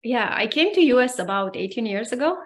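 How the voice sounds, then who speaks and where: A woman's voice: a little high, big pitch swings, brisk. A woman from Romania in her forties, a guest answering interview questions in a friendly, chatty way. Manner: friendly, chatty, laughs easily. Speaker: guest, personal development coach. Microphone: video call.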